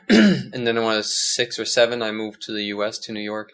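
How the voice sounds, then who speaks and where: A man's voice: fairly low pitch, expressive, brisk, fairly bright tone. A young Danish-born man as a podcast guest, talking in a loose, hesitant way with many restarts. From Denmark. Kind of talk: casual conversation.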